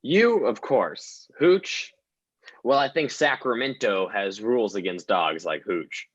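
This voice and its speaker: A comedian voicing a straight-laced detective in a comedy radio play, in a dry, earnest manner. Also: male voice, on the low side, expressive, slow, husky, lo-fi sound, voice pushed down, heavily acted. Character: straight-laced male small-town detective.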